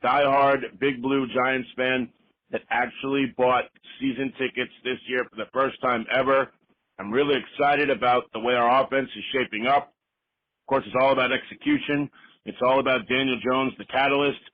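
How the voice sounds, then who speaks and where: A man's voice: medium pitch, steady tone, normal speed. A man from New Jersey leaving a casual fan message as a caller, on a lo-fi recording. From New Jersey, United States. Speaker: voicemail caller. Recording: dull, lo-fi voicemail recording.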